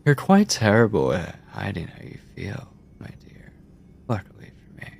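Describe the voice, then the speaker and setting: A man playing an obsessive mad scientist captor in a horror ASMR roleplay, coldly doting and clinical, with condescending endearments, theatrical pauses and a breathy, husky voice. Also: lowish voice, huge pitch swings, a little mellow, a little hiss or hum, exaggerated voice. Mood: condescending, tender, then controlling, menacing. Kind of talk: horror ASMR roleplay, intimate monologue to listener.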